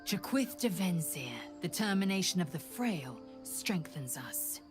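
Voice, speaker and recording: A woman's voice: on the low side, big pitch swings, a little slow, over music. An actress playing a proud, fierce and disdainful warrior woman of an alien race in a fantasy video game, speaking in a haughty, formal, severe manner. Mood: cold contempt.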